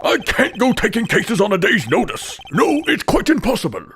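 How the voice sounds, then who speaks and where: A man's voice: high-pitched, huge pitch swings, normal speed, fairly bright tone, a little hiss or hum, full character voice. A man voicing a pompous, blustering older male lawyer in a video game fan dub, theatrical, evasive and old-fashioned in his phrasing. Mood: flustered, evasive.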